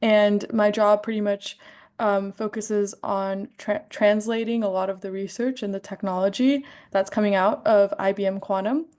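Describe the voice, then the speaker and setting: A woman's voice: medium pitch, a little mellow, smooth. Confident young woman, polished delivery. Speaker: panelist, tech professional. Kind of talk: panel introduction.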